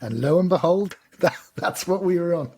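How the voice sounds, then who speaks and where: A man's voice: sits high, lively intonation, normal speed, mellow, breathy edge. A man co-hosting a podcast, chatting casually and telling anecdotes. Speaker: podcast co-host.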